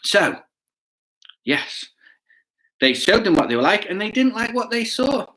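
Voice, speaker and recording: A man reading a children's story aloud with chatty asides, playful and expressive, often putting questions to his listeners. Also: high-pitched, unhurried. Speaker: children's bedtime story reader.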